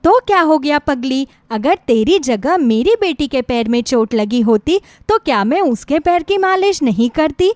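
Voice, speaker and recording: A woman's voice: high-pitched, lively intonation, unhurried, hardly any pauses, darkish tone, no echo. A woman voicing a caring older mother-in-law in a moral story, fussing and insistent in a motherly way. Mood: tender.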